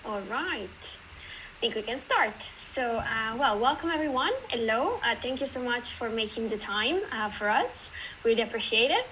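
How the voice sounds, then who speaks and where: A woman from Italy with an Italian accent, giving an online meetup presentation in a lively, chatty way with many "uh" fillers. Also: fairly high pitch, normal speed, background noise.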